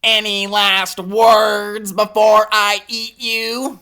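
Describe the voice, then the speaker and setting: A man voicing a slow, gloating, hungry lake monster. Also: high-pitched, little pitch movement, pushed and bright, clear, resonant tone, pitched above the performer's own voice, exaggerated voice.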